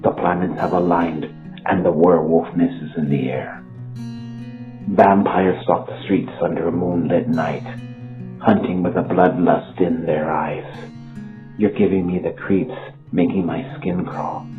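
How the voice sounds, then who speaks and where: Slow deep man, echoing over guitar. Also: fairly even intonation. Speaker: author performing own lyric piece. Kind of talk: dramatic spoken-word recitation over music. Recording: echoey, muffled, under loud guitar music. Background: plucked guitar music.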